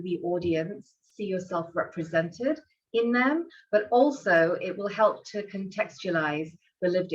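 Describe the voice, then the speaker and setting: Host, woman, lower voice, measured and deliberate, slightly muffled lo-fi audio. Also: fairly bright tone. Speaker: podcast host. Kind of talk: hosting an interview.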